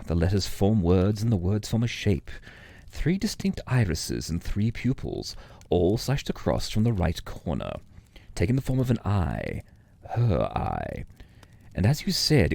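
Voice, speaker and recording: A formal, silky judge figure in an audio drama, voicing regret theatrically. His voice is low and gravelly with lively swings, recorded very dry and close. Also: no echo, heavily acted.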